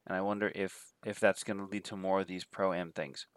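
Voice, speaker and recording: A man's voice: low voice, little pitch movement, brisk, clear, resonant tone, no room sound. A man with a cold and a nasal voice, giving a rambling, casual spoken review of films and listing titles with many fillers. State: has a cold. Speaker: adult film reviewer.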